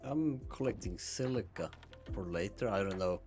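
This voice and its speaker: A man's voice: fairly low pitch, lively intonation, normal speed, darkish tone, faint music behind. A man chatting and joking casually while playing a game with friends. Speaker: gamer playing along. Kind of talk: casual gaming banter.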